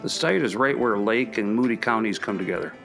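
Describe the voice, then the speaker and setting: A man's voice: on the low side, expressive, fairly quick, gravelly. An easygoing archaeologist guy, reassuring, natural chatty delivery, radio play with music in the background. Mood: explanatory. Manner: conversational, reassuring. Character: easygoing, considerate male archaeology professor.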